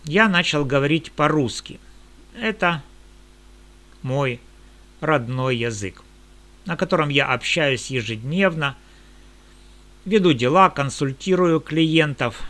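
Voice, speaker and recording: Bright-voiced indignant male lawyer. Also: a little high, expressive. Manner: measured, indignant, formal legal phrasing. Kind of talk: monologue.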